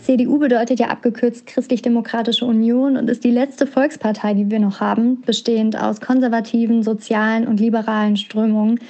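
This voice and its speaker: A woman's voice: on the high side, level tone, brisk, hardly any pauses, darkish tone, soft background music. A woman in her twenties, speaking in a composed, formal way on a recorded voice message. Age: 20s. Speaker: party youth representative. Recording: dull lo-fi voice message.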